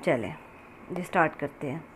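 A woman's voice: deep voice, animated, normal speed, low-quality recording. A woman giving a casual, step-by-step recipe narration as a home cook, in a conversational, instructional manner. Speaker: home cook hosting a cooking channel. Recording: clear background noise, kitchen ambience.

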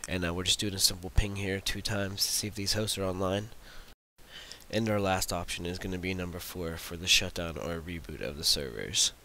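A man presenting a software demo as a casual voice-over, explaining in an informal, unhurried way. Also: low voice. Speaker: tutorial presenter demonstrating own script. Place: voice-over for a screen recording. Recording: hissy voice-over recording.

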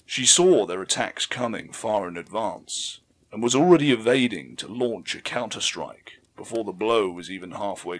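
Male audiobook narrator, fairly deep voice, dramatic scripted storytelling, emphatic, measured delivery. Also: fairly bright tone.

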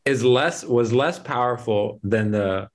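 A man's voice: medium pitch, slow pace. A man in his thirties hosting an interview over a video call, asking long questions with many restarts. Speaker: interview host. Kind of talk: remote interview, casual questions. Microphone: video call with earphones.

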